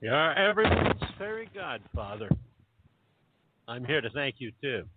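Man acting a knowing, animated longtime friend. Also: medium pitch, a little slow, heavy background noise. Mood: teasing, grateful. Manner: knowing, sympathetic. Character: caring, gently teasing old male friend. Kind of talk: radio play acting. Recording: tinny phone-like audio.

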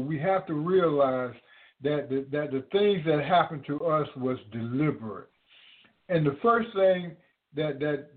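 Halting preacher man on a tinny line. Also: a little high. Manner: halting, many repeated words and fillers. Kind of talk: preaching to listeners. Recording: tinny phone-like audio.

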